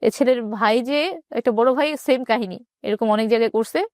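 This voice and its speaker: High-voiced Bangladeshi woman telling her story. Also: normal speed, darkish tone, dry recording, clean studio sound. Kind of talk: personal storytelling in an interview.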